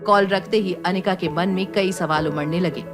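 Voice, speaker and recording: Lower-pitched woman narrator over music. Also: steady tone, normal speed. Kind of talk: scripted audio drama storytelling.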